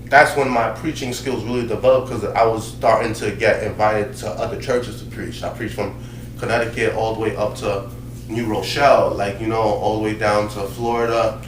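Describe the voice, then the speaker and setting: A Haitian-born man, a pastor and host telling his life story in a relaxed, casual chat full of fillers. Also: on the low side, normal speed. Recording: roomy sound from a distant mic with faint noise.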